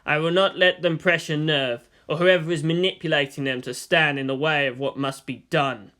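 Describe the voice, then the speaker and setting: A man voicing a cold, menacing middle-aged commander and father in a story, contemptuous and deliberate as he threatens. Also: fairly high pitch, fairly even intonation, pushed and bright.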